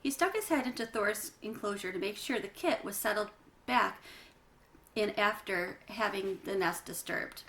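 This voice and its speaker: A woman's voice: medium pitch, moderate pace, a bit bright. A woman reading a children's book aloud, narrating with chatty asides to young listeners. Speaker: children's read-aloud reader.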